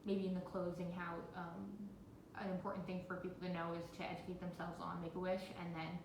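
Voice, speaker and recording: A woman's voice: little pitch movement, fairly quick, darkish tone. A woman interviewing and coaching in an encouraging, informal way. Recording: roomy, noisy phone recording with rumble.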